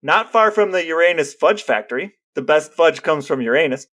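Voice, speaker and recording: Male co-host, a bit high in pitch, casual humorous chat, dry and playful. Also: lively intonation, moderate pace, a bit bright. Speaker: podcast co-host.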